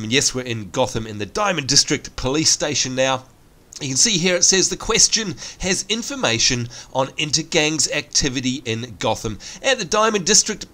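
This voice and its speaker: An Australian man with a congested, sniffly voice from hay fever, giving chatty gameplay commentary and viewer shout-outs. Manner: chatty, upbeat, lively pitch swings. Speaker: gaming channel host.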